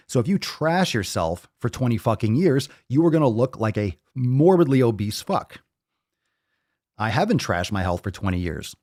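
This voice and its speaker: A man's voice: mid-range voice, darkish tone, dry recording, clean studio sound. A man in his thirties hosting a fitness podcast, lecturing bluntly with plenty of swearing. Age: 30s. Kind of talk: ranting livestream talk.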